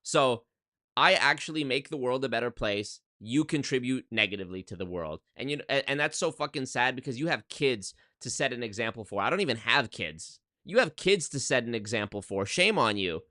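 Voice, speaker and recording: A man's voice: medium pitch, lively intonation, a little fast, bright, forceful tone, dry recording, clean studio sound. A man in his twenties, a YouTuber talking casually on a livestream from his apartment into a desk microphone, emphatic and swearing, often restarting his sentences. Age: 20s. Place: one-bedroom apartment.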